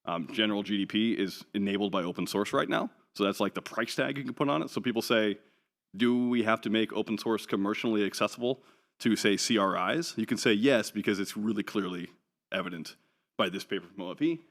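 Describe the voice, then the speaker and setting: Low-voiced man giving a casual conference talk. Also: brisk, a bit bright. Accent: none New Zealand. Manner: casual, chatty, wry asides with many ums. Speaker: conference speaker. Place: conference hall.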